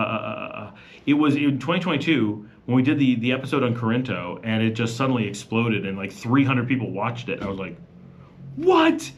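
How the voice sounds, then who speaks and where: Man, relaxed livestream host, chatty, reads comments out, casual and loose. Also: on the low side, highs cut off. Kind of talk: casual live Q&A chat.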